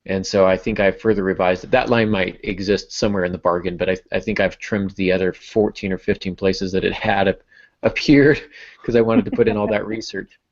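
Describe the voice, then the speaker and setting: A man's voice: low voice, fairly even intonation, fairly quick, muffled recording. A man hosting a writing podcast in casual talk, with a self-deprecating, joking manner. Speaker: podcast host and fiction writer.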